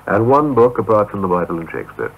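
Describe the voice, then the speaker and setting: A man hosting a radio interview in a brisk, polite manner, heard through a tinny off-air cassette recording. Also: on the low side, sing-song, gravelly, slightly rough.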